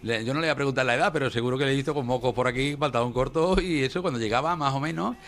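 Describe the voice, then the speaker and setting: A man's voice: medium pitch, racing, a bit bright. A man interviewing a local craftsman, familiar and reminiscing, full of tag questions. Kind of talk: chatty on-location interview.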